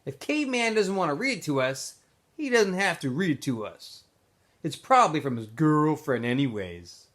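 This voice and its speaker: Confident teenage boy boss read by a man, casual but assertive, animated storybook role. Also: fairly high pitch, moderate pace. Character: confident teenage boy, leader of his group.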